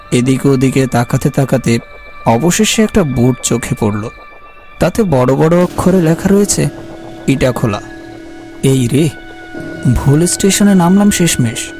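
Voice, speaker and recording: A man playing a polite, uneasy traveller who narrates an eerie animated ghost story in the first person. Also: fairly high pitch. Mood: puzzled, uneasy. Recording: faint music behind the voice.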